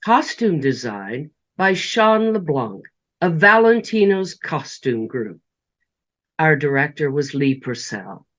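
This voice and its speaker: Male announcer, slow dry credit read, dull lo-fi recording. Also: fairly high pitch, big pitch swings, a bit bright. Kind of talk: scripted closing narration and credits.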